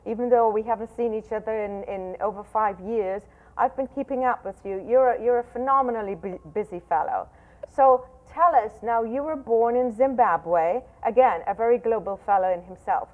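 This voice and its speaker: A woman's voice: fairly high pitch, moderate pace. Chatty woman host on a tinny line. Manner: enthusiastic, chatty, restarts often. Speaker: show host. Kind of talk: interview hosting.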